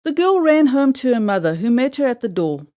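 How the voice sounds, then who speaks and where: A woman reading a fairy tale aloud for children in an expressive, measured storybook style. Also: on the high side.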